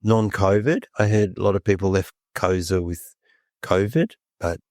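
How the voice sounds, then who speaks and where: Brief: very low male host with a snuffly cold, dry close sound. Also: moderate pace, a little mellow, dry recording. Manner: jovial, self-mocking. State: stuffy head cold, snuffly. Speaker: podcast co-host. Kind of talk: casual chat.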